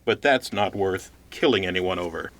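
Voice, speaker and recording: An actor playing an impatient, self-important radio news reporter in an audio drama, speaking in a precise, pedantic way. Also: male voice, sits low, normal speed, a bit bright, dry recording. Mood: accusing, pedantic. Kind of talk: audio theater acting.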